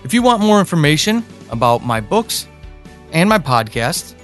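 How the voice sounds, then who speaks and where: A man hosting a podcast, making a promotional closing announcement in emphatic, deliberately phrased speech. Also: fairly high pitch, very animated, fairly bright tone, faint music behind. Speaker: podcast creator and host.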